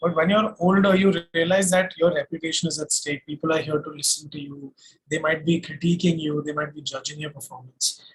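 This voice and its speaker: A man from Delhi with an Indian accent, casually looking back as an interviewed singer, reflective and pausing often. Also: a little high, fairly even intonation, breathy edge, poor audio. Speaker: singer-songwriter being interviewed.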